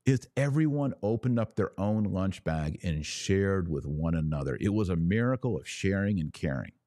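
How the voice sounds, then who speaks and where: A man with a very low, mellow voice speaks as a podcast host giving religious commentary. He is didactic and emphatic, setting out his phrases deliberately. The sound is dry and close, with little room to it. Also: animated, no echo.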